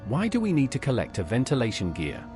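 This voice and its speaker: A synthetic man's voice playing a steady, helpful adventurer in fantasy video game dialogue. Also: medium pitch, fast, music in the background. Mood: calm, inquiring.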